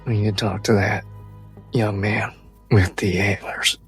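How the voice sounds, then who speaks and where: Woman acting out a slow, gravelly older man. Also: extremely low pitch, little pitch movement, soft background music, pitched below the performer's own voice, heavily acted. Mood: weary, resolute. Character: gruff, weary older man, protective uncle.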